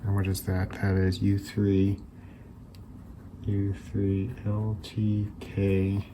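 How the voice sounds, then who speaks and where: A man hosting an electronics teardown video, talking casually and unscripted, haltingly thinking aloud as he examines parts. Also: fairly low pitch, monotone, background noise. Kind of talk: casual unscripted hands-on demonstration talk.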